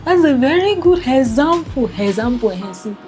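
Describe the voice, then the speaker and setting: A woman's voice: high-pitched, very animated, moderate pace, a little mellow, soft background music. A young Nigerian woman with a Nigerian accent, chatting casually to the camera in a vlog, laughing often and filling her talk with "like". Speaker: vlogger.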